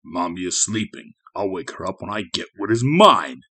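A man's voice: low voice, very animated, normal speed, sharp tone, husky, heavily acted. A man voicing a menacing plastic monster that poses as a father, moving between false soothing and snarling demands. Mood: falsely soothing. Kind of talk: audiobook character acting.

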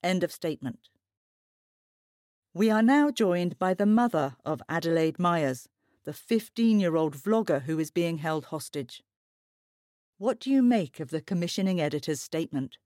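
Woman playing a low, grave news anchor. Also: expressive, darkish tone, dry recording, studio quality, lightly acted. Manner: formal, measured. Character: composed, grave female television news anchor. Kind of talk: broadcast news presenting.

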